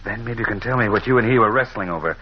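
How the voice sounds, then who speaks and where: An actor playing a probing but affectionate amateur sleuth in an old radio mystery, conversational yet insistent. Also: male voice, fairly low pitch, very fast, breathy edge. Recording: muffled, noisy old radio recording.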